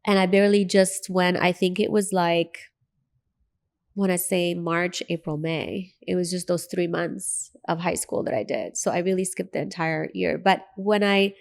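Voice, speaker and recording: A woman's voice: fairly low pitch, steady tone, moderate pace, gravelly. A woman from Lebanon with an American accent and Arabic as her first language, telling her personal story as an interview guest in an earnest, emphatic way.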